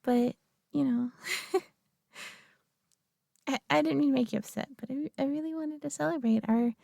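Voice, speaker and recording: A young woman playing a sweet, nervous girlfriend who talks softly to the listener, giggling and stammering often. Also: sits high, normal speed, gravelly, no echo, clean studio sound. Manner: giggly, stammering, soft-spoken. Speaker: audio roleplay performer. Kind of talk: girlfriend roleplay addressing the listener.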